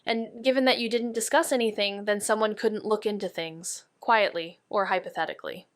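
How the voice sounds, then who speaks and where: An actress playing a sharp, wry young woman detective in a noir audio drama, with a dry, clipped, probing manner. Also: mid-range voice, fairly even intonation, normal speed, dry recording, close to an everyday voice. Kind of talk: neo-noir audio drama dialogue and narration.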